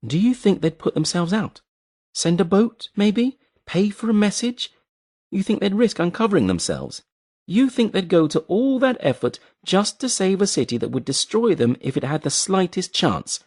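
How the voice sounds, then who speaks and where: A frightened, bitter woman, desperate and scornful, as a male reader puts her on, her voice pitched far above his own and swinging widely. It sounds husky and close, with no room around it, read like an audiobook character. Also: sing-song, normal speed, a little mellow, heavily acted. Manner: pleading and scornful, voice pushed well up in pitch.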